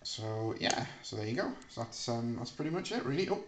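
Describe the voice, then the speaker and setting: A middle-aged British man casually demonstrating a homemade device in a hesitant, halting, unscripted way. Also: medium pitch, very animated. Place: small hard-walled room indoors. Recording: echoey room, clicks and faint hiss.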